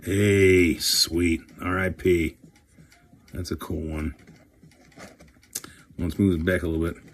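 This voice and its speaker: A man chatting casually and excitably while opening trading card packs, swearing now and then. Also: low voice, takes it slowly, slight background noise. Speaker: career coach and card collector host.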